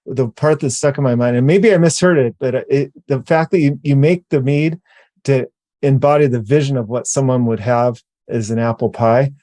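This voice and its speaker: A man hosting a podcast interview, upbeat and quick with short agreeing replies. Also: medium pitch, dark tone, dry recording. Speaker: podcast host.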